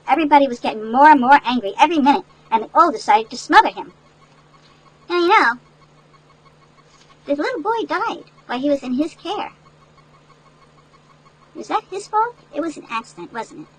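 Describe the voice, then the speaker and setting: An older woman with a high voice reads a storybook to young children. She breaks off for chatty asides, explains the lesson like a grandmother and keeps checking in with little questions. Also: a bit bright, poor audio. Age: older, great-grandmother. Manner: instructive, checks in with listeners through tag questions. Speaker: grandmother reading a picture book to children.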